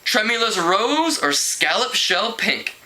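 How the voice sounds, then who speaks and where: A man's voice: high-pitched, very animated, takes it slowly, bright, forceful tone, voice pushed up, full character voice, low-quality recording. A man reading the part of a breezy, self-assured, fashion-minded teenage girl in a novel, airy and sassy.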